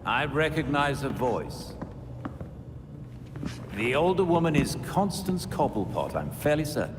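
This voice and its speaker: An actor playing a loyal elderly butler in a video game, with a formal, measured, discreet manner. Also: male voice, medium pitch, very animated, long reverb tail, background noise. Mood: measured, grave. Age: older. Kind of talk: video game cutscene acting.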